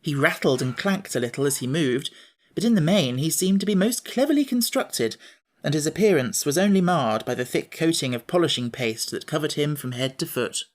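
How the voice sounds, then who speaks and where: A man narrating a classic fairy tale audiobook. His storytelling is measured and even, with a steady, unhurried delivery. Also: on the high side.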